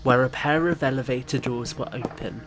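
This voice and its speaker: A man's voice: medium pitch, normal speed, noisy background. A man reading scripted third-person story narration for an audio drama.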